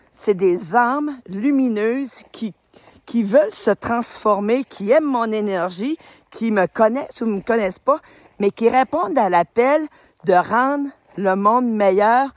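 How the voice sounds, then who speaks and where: A woman's voice: fairly high pitch, dry recording. Enthusiastic woman in her fifties. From New Brunswick, Canada. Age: 50s. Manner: warm, enthusiastic, rambling with many fillers. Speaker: retired teacher and spiritual group leader. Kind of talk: casual welcome video to followers. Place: outdoors on a road in winter.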